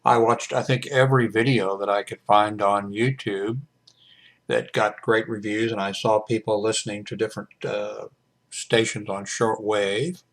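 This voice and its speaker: Low-voiced older man, halting talk. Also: steady tone. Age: 70s. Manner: halting, hesitant delivery with mid-sentence pauses. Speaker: radio hobbyist reviewing a portable shortwave radio. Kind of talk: casual unscripted product review vlog. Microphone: webcam microphone.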